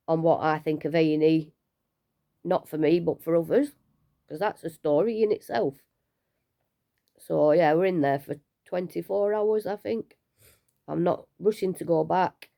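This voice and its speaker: A woman, unwell and low on energy, talking casually and rambling a little in a personal vlog from home. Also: dark tone. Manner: chatty, rambling, self-deprecating with small laughs. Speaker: vlogger sharing her cancer treatment.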